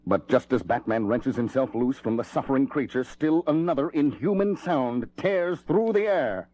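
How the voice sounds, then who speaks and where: A man's voice: mid-range voice, lively intonation, moderate pace, dark tone, lightly acted. Man as urgent, theatrical adventure narrator. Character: dramatic male narrator of superhero adventure. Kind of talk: scripted 1970s audio drama narration. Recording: dull 1970s record with music.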